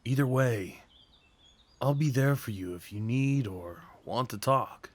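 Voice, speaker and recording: A man acting as a sweet, nervous gentleman on a first date, in an intimate audio roleplay. He speaks at a middling pitch, tender and earnest. His manner is hesitant and apologetic, as if unsure of himself. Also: a little slow, no room sound, close to an everyday voice. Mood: warm, easygoing.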